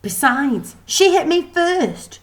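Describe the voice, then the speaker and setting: A woman's voice: high voice, very animated, slow, voice pushed up, exaggerated voice. A woman voicing a spirited young schoolgirl heroine in a children's storybook, indignant, defiant and earnest. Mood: protesting. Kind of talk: children's storybook read aloud.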